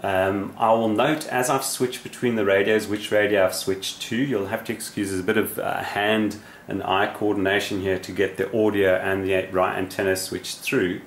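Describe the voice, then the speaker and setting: A man's voice: fairly low pitch, level tone, moderate pace, few pauses, slight background noise. A man giving a casual, unscripted explanatory demonstration as a radio hobbyist, with somewhat hesitant phrasing. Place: small indoor radio room.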